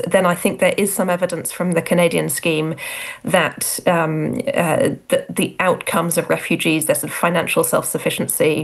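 A woman expert answering interview questions in a measured, careful way, pausing with fillers. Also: lowish voice, vocal fry. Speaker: guest expert.

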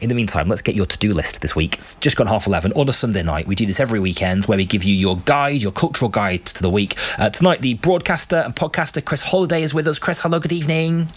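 A man hosting a late-night radio show, chatting briskly with hesitant fillers. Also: on the low side.

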